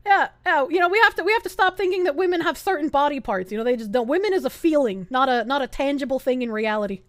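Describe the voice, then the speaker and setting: A high-voiced woman streamer whose pitch leaps around as she talks. Her commentary is casual and sarcastic. The recording is dry and close, with no room sound. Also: fast, no echo. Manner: sarcastic and animated.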